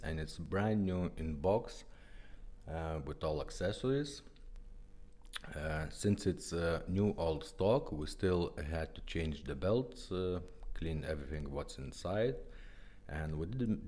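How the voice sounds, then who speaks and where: A man's voice: low-pitched, a little mellow. A man casually demonstrating a product, giving simple step-by-step instructions in short phrases. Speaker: vintage car radio restorer presenting a demo.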